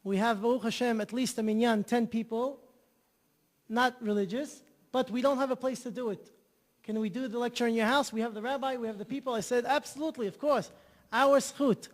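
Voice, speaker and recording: A man's voice: extremely high pitch, fairly even intonation, fairly quick, in a large hall. A man telling an enthusiastic story as a guest on an interview show, often repeating himself. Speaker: guest, charity organizer.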